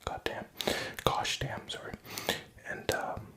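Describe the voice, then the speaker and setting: A man's voice: takes it slowly, sharp tone. A young man whispering a rambling personal story, profane and self-mocking.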